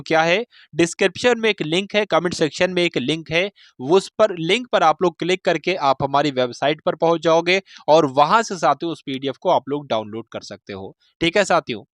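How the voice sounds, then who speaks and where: Higher-pitched man explaining exam news, dry sound. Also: fairly bright tone, no room sound. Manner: repeats and rephrases points, addresses listeners as friends. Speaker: host of exam preparation channel. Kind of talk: informal explanatory exam news update to viewers.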